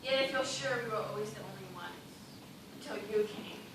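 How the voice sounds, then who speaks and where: A woman's voice: medium pitch, moderate pace, natural voice. Distant, echoing, despairing castaway dancer played by a woman. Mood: uneasy. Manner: theatrical, plaintive. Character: fearful, despairing young dancer, shipwreck survivor on a raft. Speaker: stage actor. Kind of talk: stage play dramatic acting. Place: theatre stage, recorded from afar. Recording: very echoey stage, distant mic, loud noise.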